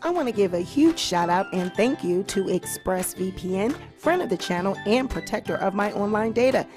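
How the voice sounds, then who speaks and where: A woman hosting her own online channel, talking casually to her viewers in a hoarse voice, addressing them as y'all and you guys. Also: mid-range voice, animated, moderate pace, music in the background. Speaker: YouTube host. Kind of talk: casual livestream commentary.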